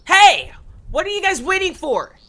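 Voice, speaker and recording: A woman's voice: extremely high pitch, wildly swooping pitch, moderate pace, fairly bright tone, exaggerated voice. A woman playing a bossy, cocky young cartoon creature who acts as leader in an amateur audio drama, brash and taunting.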